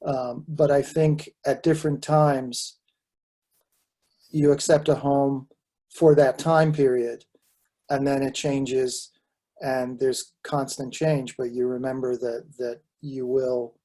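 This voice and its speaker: A man's voice: medium pitch, little pitch movement, takes it slowly, mellow. A man, a panelist giving a reflective, hesitant answer full of fillers and restarts over a video call. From Southern California. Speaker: panelist and author.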